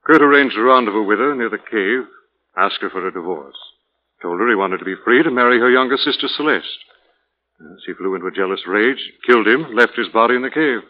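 Gentleman sleuth male actor: fairly low, measured, matter-of-fact, 1940s radio drama on a slightly muffled old recording. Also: animated, close to an everyday voice. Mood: composed, explanatory. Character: calm, reasoning gentleman detective explaining a murder case.

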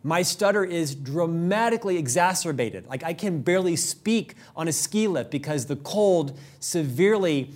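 A middle-aged man hosting a leadership show, talking fast and upbeat in a prepared monologue, with an occasional stutter on a word. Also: a little high, animated, darkish tone. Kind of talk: scripted monologue to camera.

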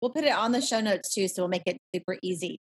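A woman's voice: mid-range voice, quick pace, husky. A woman hosting a podcast, chatting in an upbeat, encouraging way with her guest over a video call. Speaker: podcast host. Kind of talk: casual interview chat.

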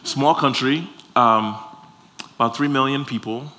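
A male preacher from Liberia speaks at a middle pitch. He delivers his sermon emphatically, repeating phrases and putting questions to the congregation. It is a live recording with noticeable ambient noise behind him. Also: unhurried, sharp tone. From Liberia, West Africa. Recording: clear background noise, live room ambience.

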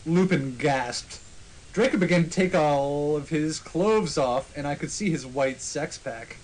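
A man narrates amateur fiction as a podcast host, playing it mock-serious for comedy. His voice is slightly high, and the recording has faint noise. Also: measured pace, a little mellow, poor audio. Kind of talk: comic dramatic reading of fan fiction.

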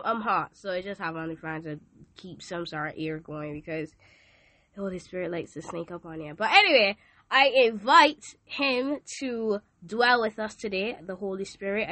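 A woman's voice: medium pitch, sharp tone, no echo. A teenage girl with a Barbadian accent, sounding nasal from a cold, hosting a casual devotional podcast in a chatty, playful way. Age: teens. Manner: chatty, playful, asks listeners questions. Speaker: podcast host. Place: hot room with a fan.

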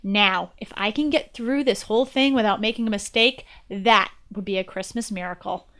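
A woman's voice: mid-range voice, normal speed, fairly bright tone. An American woman guest-hosting a podcast, giving a scripted educational presentation in a chatty manner.

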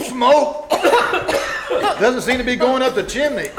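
A man's voice: extremely high pitch, exaggerated voice. A man playing a blustering, impatient but reassuring father in an old-time radio comedy on stage. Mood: flustered. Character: exasperated, stubbornly reassuring sitcom father. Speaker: amateur radio troupe actor. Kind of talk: old-time radio comedy acting before a live audience. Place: live performance at a winery. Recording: noisy, echoey live stage recording.

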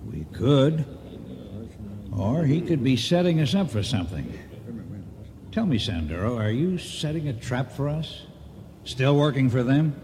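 A man acting a shrewd, suspicious ship's captain in a radio drama, brisk and sardonic. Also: mid-range voice, very animated, mellow, long reverb tail, a little hiss or hum.

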